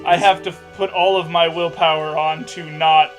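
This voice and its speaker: A man's voice: fairly high pitch, little pitch movement, normal speed, soft background music. A young man reading aloud and commenting casually, sarcastic and sweary, often breaking off to joke. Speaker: co-host reading fan fiction aloud. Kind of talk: casual mocking read-aloud and commentary over a game.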